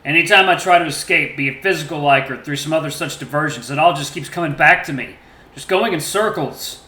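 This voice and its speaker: A man's voice: mid-range voice, brisk, bright, forceful tone, roomy sound, natural voice. Man playing a weary, haunted furry father. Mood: haunted despair. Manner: ragged, tired.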